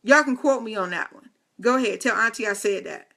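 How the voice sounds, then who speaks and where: Fifty-something lady chatting on a live stream, bright and a bit high, jokey asides to her viewers. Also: brisk, vocal fry. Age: 50s. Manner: playful, teasing asides and chatty direct address. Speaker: host of a live video talk.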